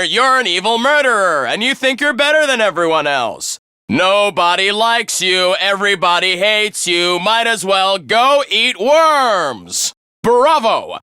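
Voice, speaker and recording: Smug young male game villain, theatrical, mock-polite, high bright voice, swooping delivery. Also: no room sound, clean studio sound, full character voice. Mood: mock-gracious. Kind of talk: English video game voice acting.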